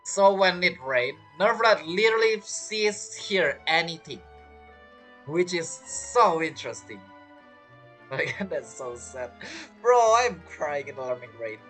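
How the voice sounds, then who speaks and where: A woman giving casual, expressive, slangy and giggly reaction commentary as a gaming streamer over game music. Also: lowish voice, unhurried. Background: video game music.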